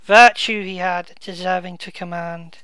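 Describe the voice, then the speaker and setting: A woman reading the part of a grieving noble duke at a king's funeral, declaiming verse slowly and loudly in her own voice. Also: fairly low pitch, steady tone, smooth, no room sound. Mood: praising. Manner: slow, loud, declaiming verse.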